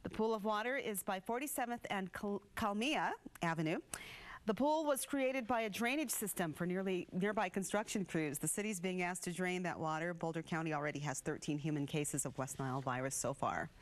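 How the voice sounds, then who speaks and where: A woman's voice: fairly low pitch, a little fast. An American woman reading scripted morning news as a television anchor.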